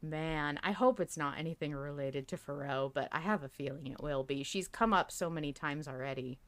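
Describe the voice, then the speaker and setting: Low-voiced woman chatting casually, close and dry. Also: normal speed, fairly bright tone, dry recording. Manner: informal, peppered with "like" and mild swearing. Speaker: podcast co-host. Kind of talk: casual chat about fan theories, reading listener comments aloud.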